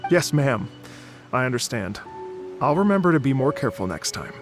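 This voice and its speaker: An actor playing a polite, dreamy travelling musician in a video game, courteous, hesitant and slightly flustered. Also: male voice, mid-range voice, big pitch swings, normal speed, over music.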